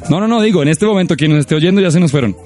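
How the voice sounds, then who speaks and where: Teasing podcast co-host. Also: male voice, fairly high pitch, lively intonation, very fast, a little mellow, faint music behind. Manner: teasing, wisecracking. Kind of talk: casual banter among friends.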